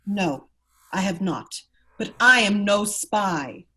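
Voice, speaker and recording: A woman's voice: mid-range voice, lively intonation, slow, pushed and bright, slightly rough, heavily acted. A woman playing a proud, unhappy, neglected aristocratic wife in an amateur radio play read, earnest and wounded. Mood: guarded, defiant.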